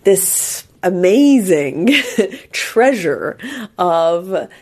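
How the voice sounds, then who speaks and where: Husky low-voiced woman hosting a radio show. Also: sing-song, drawn-out words, darkish tone, no echo. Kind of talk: presenting and interviewing. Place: office at a broadcaster.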